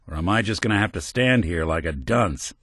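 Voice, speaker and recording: Game companion: a world-weary male synth detective, dry and wry, low and expressive, close dry recording. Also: brisk, no room sound. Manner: dry, world-weary noir drawl, gruff when angry. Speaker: companion character. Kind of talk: game voice lines.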